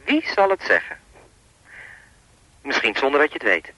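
An actor playing a sinister, persistent phone stalker in a Dutch radio play, talking in a pompous, wordy, coolly controlling way. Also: male voice, mid-range voice, huge pitch swings, normal speed, bright, forceful tone, dry recording, full character voice, low-quality recording. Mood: smug, musing.

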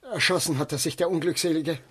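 Husky, distraught old manservant. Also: male voice, on the high side, fast, a bit bright. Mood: agitated, grieving. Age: older. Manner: deferential, agitated. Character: distraught old manservant bringing grim news. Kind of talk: German radio drama acting.

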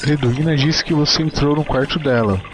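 A man voicing a small, poor orphan boy who talks to his dog in a soft, earnest, scolding way. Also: a little high, normal speed, noisy background, pitched above the performer's own voice, natural voice. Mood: mild scolding.

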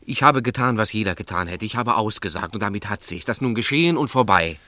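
Radio drama role: a rattled, insistent bar owner, forceful delivery, close dry sound. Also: male voice, on the low side, expressive, quick pace, dry recording. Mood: irritated. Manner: curt, insistent, irritable. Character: defensive, rattled male snack bar owner. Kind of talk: German radio drama acting.

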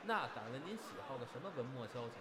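A man plays a brusque, exasperated male straight man in a crosstalk audio drama. His voice sits at a middling pitch and moves around a lot, theatrical and scolding. A little noise lies behind it. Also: big pitch swings, racing, close to an everyday voice. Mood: dry straight man. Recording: faint background noise.

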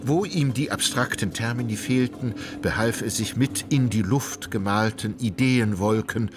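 A man's voice: medium pitch, a little slow, background noise, lightly acted. A man narrating a literary radio play as a wry, elegant storyteller. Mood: wry, composed.

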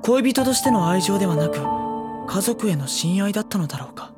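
An actress playing a mild-mannered, introspective teenage schoolboy in an audio drama, speaking politely and with understatement. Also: female voice, mid-range voice, expressive, fairly quick, loud music behind the voice, lightly acted. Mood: pensive. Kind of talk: Japanese audio drama acting with inner monologue.

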